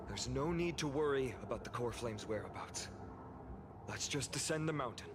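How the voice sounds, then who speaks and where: An actor playing an earnest young male leader in a video game, speaking in measured, resolute speeches. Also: mid-range voice, lively intonation, noisy background, natural voice. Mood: calm, reassuring. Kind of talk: video game story voice acting. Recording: music behind the voice. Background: game music.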